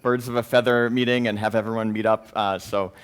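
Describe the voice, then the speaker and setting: A man presenting at a tech conference, answering audience questions informally in halting phrases peppered with filler words. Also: fairly low pitch, level tone, clean tone. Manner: hedging, halting explanations peppered with "you know".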